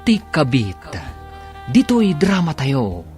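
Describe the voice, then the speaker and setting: A man's voice: a little high, wildly swooping pitch, slow pace, darkish tone. A man with a Filipino Ilocano accent narrating and announcing a radio drama in a dramatic, scripted style. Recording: radio broadcast with music behind.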